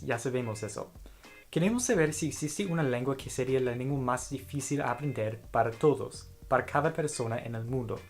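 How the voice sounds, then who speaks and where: A man whose first language is English, narrating a scripted explainer on learning languages in a clear, explanatory way. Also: mid-range voice, a little mellow. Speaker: educational video host.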